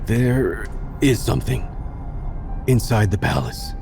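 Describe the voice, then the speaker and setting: A man's voice: medium pitch, animated, slow pace, gravelly, music in the background. A man playing a guarded, beleaguered government chancellor in a video game, speaking tersely and warily. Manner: terse, wary. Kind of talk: dramatic video game voice acting.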